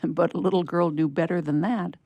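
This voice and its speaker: A woman narrates a children's story, reading aloud to young listeners. Her voice is low and soft-edged, with some fry, recorded close and dry. The delivery is measured and scripted. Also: steady tone, no echo. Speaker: story reader.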